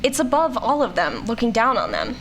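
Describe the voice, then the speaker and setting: A woman playing a snarky, excitable scientist and explorer in an audio drama, sarcastic, bossy and bluntly casual. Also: fairly high pitch, fairly quick, background noise. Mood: musing. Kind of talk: scripted audio drama acting.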